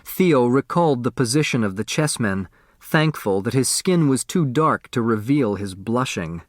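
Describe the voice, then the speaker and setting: A man's voice: mid-range voice, expressive, moderate pace, no echo, clean studio sound. A man reading a children's mystery novel as scripted narration. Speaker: audiobook narrator.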